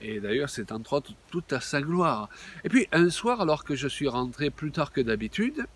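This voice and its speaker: A man in his sixties, a pastor, giving an animated video sermon, exclaiming often and pausing with many fillers. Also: on the high side, breathy edge. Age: 60s.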